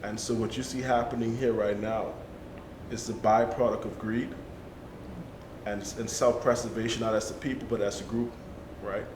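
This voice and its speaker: A man's voice: little pitch movement, normal speed. A man speaking earnestly on a panel, building emphasis through repetition. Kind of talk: discussion. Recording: echoey room with low rumble.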